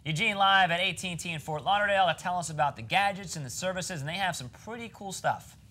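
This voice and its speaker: A man's voice: high voice, quick pace, sharp tone. A man anchoring morning news, handling introductions and conversational studio banter.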